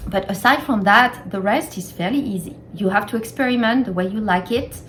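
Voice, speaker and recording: A woman's voice: medium pitch, brisk, a bit bright, a little hiss or hum. A middle-aged woman from France with a French accent, chatting casually and explaining things step by step in her home kitchen, repeating points for emphasis. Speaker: cooking vlogger.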